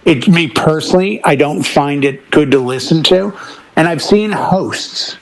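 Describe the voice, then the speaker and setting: A man's voice: on the high side, a little slow, poor audio. A middle-aged man chatting casually on a panel as a DJ, speaking emphatically and repeating words to drive points home. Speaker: talk show panelist, professional DJ.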